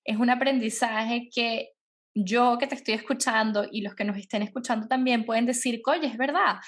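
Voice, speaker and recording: A woman hosting an interview podcast, lively and affirming as she draws out her guest. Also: a little high, normal speed. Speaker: podcast host. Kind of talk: casual interview conversation.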